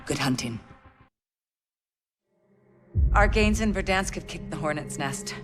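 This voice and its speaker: A woman's voice: on the low side, expressive, moderate pace, faint music behind, lightly acted. A woman playing a stern, authoritative intelligence officer, giving clipped, businesslike briefings to troops in a video game. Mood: firm, urgent. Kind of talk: scripted mission briefing. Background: dramatic game score.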